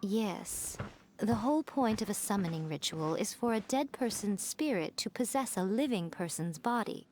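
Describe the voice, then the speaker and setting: A woman voicing a sly, elegant fox spirit in a video game, teasing and coaxing in an unhurried, playfully condescending way. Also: medium pitch, expressive, a little mellow, a little hiss or hum, natural voice. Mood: poised, instructive. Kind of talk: video game character dialogue.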